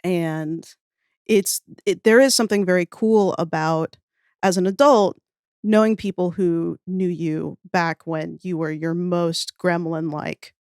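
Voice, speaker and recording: A woman's voice: fairly low pitch, steady tone, unhurried, darkish tone, clean tone, no room sound, clean studio sound. An American woman from New Orleans chatting casually as a podcast guest, with an informal, self-deprecating, hedging way of talking. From New Orleans, United States. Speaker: podcast guest, audio fiction writer. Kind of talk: casual interview chat, reminiscing.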